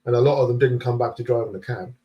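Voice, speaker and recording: A man's voice: medium pitch, rapid-fire, husky, muffled recording. A man hosting a casual live-stream chat, joking around and reading out viewer comments. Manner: jokey, banters and reads comments aloud.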